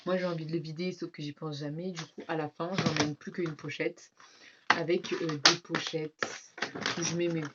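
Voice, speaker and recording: A teen girl with a French accent talks in a low, slightly stuffy voice, rambling through her school supplies with lots of fillers and quick asides to her viewers. The sound is dull and muffled, with a clear hiss of noise underneath. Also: little pitch movement. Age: teens. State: slightly congested, has a cold. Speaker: vlogger. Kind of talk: casual back-to-school haul vlog.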